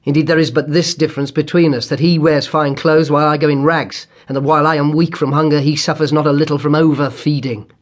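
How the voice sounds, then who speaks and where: A man's voice: a little high, fairly even intonation, moderate pace, lightly acted. A man voicing a bitter, angry, starving weaver in a storybook, declaiming with embittered passion. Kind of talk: dramatised storybook character reading.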